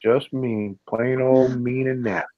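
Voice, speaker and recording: A man's voice: mid-range voice, fairly even intonation, measured pace, muffled recording. A man on a livestream who reads viewers' chat comments aloud and teases the others. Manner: teasing, mock complaining. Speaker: co-host reading live chat.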